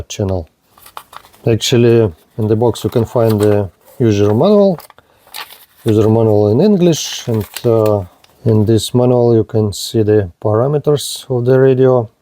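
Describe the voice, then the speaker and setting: A man presenting a product demonstration in short, halting phrases, with a low-key, matter-of-fact manner. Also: dark tone, a little hiss or hum. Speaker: product reviewer.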